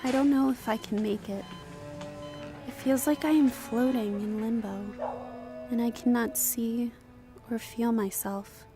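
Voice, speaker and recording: A woman's voice: fairly high pitch, steady tone, a little slow, pauses often. A woman playing a dying, homeless young woman who wearily narrates her lonely life in an audio drama. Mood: wistful, weary. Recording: voice over music.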